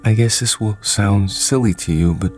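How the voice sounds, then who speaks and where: An actor plays a lonely, timid man in a radio drama. His voice is on the low side, and he speaks politely and haltingly. He stumbles and repeats words like someone easily flustered. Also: darkish tone, soft background music, natural voice. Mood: puzzled, fascinated. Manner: hesitant, stammering, polite.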